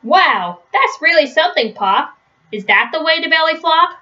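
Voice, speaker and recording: A woman's voice: extremely high pitch, lively intonation, moderate pace, pushed and bright, voice pushed up, full character voice. A woman voicing an eager, polite young boy bear with a cheeky streak in a storybook. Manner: keen, polite, questioning. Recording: dull, lo-fi webcam sound.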